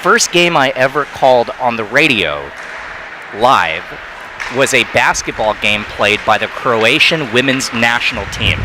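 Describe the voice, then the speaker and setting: Brief: male play-by-play hockey announcer, quick, noisy arena broadcast. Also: medium pitch. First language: not Thai. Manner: rapid, excitable calls on big plays. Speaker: play-by-play commentator.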